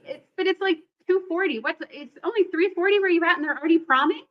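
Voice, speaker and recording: A woman's voice: very high voice, fast talker, lo-fi sound. A woman hosting a live quilting event on a video call, chatting in a bubbly, effusive way. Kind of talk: livestream chat.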